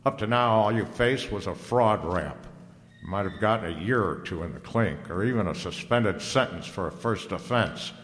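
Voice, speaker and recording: A man's voice: on the low side, animated, normal speed, fairly bright tone, in a large hall. A man acting a hard-boiled private detective in an old-time radio drama, wry, slangy and unflappable. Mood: firm warning.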